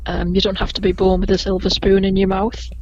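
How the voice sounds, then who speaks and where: A woman with a soft Liverpool Scouse lilt chats easily as a podcast guest. She rambles a little and laughs often. Her voice sits at a middling pitch, and the audio is a bit muffled, with a faint hiss behind it. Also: completely flat delivery, quick pace. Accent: gentle Liverpool (Scouse). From Liverpool, England. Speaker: Beatles tour guide, podcast guest. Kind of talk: casual podcast interview.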